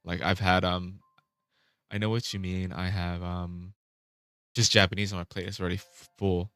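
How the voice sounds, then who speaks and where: A man's voice: deep voice, flat delivery, normal speed, fairly bright tone, smooth, no room sound. A man chatting casually on a vlog, rambling and restarting his sentences, swearing now and then. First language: Vietnamese. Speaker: vlogger.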